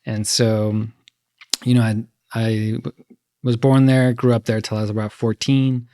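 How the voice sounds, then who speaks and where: A man's voice: mid-range voice, level tone, a little slow, darkish tone, no room sound, clean studio sound. A man from Mexico who grew up there and in the States, a podcast guest telling stories in a playful, bursty way. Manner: playful storyteller, talks in bursts. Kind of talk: casual conversation.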